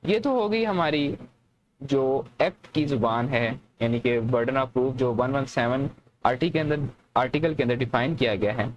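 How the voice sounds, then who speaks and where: A man's voice: medium pitch, unhurried, lo-fi sound, slight background noise. A man with a Pakistani accent giving an explanatory law lecture, repeating and rephrasing his points in a didactic way. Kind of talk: explanatory lecture, reading clauses then explaining.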